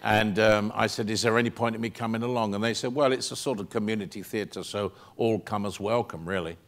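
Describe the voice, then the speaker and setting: Older man, British accent, low-pitched voice, chatty anecdotal storytelling, theater stage Q&A. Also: fairly even intonation, fairly quick. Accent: British English. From England. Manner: chatty, anecdotal, with frequent ums and restarts. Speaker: actor answering audience questions. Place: theater stage, seated in armchair.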